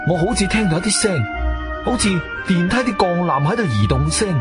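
Urgent adventurer-narrator, Cantonese accent, higher-pitched, very animated, suspenseful radio drama, music underneath. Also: male voice, fairly quick. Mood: suspenseful narrating. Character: agile martial-arts-trained adventurer, urgent and intense.

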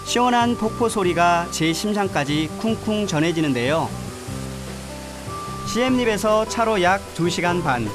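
Travel doc narrator guy, calm and even, kinda high pitched, music playing under him. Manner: calm, measured storytelling. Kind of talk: scripted voice-over narration. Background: background music.

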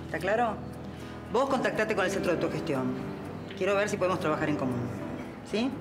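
A woman plays a brisk, commanding woman handing out instructions. Loud music plays beneath the dialogue. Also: lowish voice, animated, close to an everyday voice. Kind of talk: television drama acting.

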